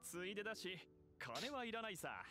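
Sly merchant bandit from an anime game, bright forceful voice, very animated. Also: male voice, sits high, moderate pace, faint music behind, heavily acted. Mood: falsely friendly. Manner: slick, theatrical. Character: smooth young male merchant, secretly a menacing bandit. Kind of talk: Japanese anime game voice acting.

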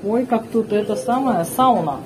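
A woman's voice: fairly high pitch, moderate pace, a crowd in the background. A middle-aged woman narrating a casual family travel vlog in an effusive, exclaiming way. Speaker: family vlogger filming and narrating. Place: resort grounds, corridors and poolside. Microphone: handheld phone camera. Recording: noisy handheld travel vlog recording.

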